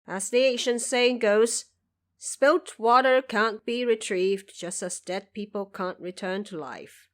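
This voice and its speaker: A woman's voice: on the high side, takes it slowly. Woman playing a scornful, skeptical court official. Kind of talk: audio drama character voice.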